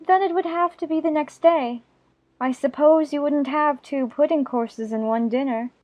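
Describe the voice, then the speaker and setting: A high, girlish storybook voice acted by a woman, polite and full of frank questions. The young girl sounds curious and well-mannered. The recording is a little dull, with faint music underneath. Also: normal speed, darkish tone, close to an everyday voice. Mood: puzzled. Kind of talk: dramatized audiobook acting.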